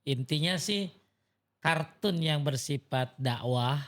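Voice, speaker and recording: A man's voice: on the high side, slow pace. Casual middle-aged male religious teacher. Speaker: religious teacher on a podcast panel. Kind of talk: casual chat.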